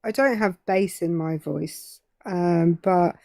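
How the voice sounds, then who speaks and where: A woman's voice: on the low side, takes it slowly, dark tone, no echo, studio quality. A woman with a British accent co-hosting a live stream, joking and self-deprecating. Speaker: co-host. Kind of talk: casual live stream chat.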